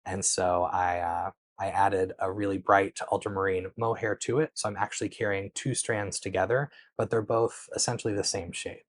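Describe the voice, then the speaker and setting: A man hosting a knitting channel, answering viewer questions in a relaxed, patient chat to camera, with many small pauses, recorded on a clip-on mic. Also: deep voice. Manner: conversational, explains patiently with frequent um and so.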